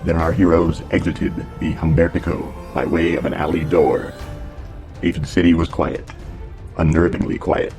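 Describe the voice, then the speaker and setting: A man narrating a dramatic audio serial in a measured, ominous storyteller's manner. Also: deep voice, level tone, over music.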